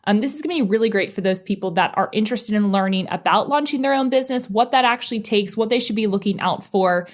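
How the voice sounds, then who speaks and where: A woman hosting a career coaching podcast, upbeat and enthusiastic, talking in quick bursts. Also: medium pitch.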